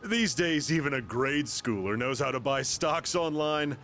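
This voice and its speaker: A man's voice: mid-range voice, expressive, unhurried, a bit bright, a little hiss or hum. An actor playing a boastful male company owner who brags and chuckles in a pushy, salesy way. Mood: smug. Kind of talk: video game voice acting.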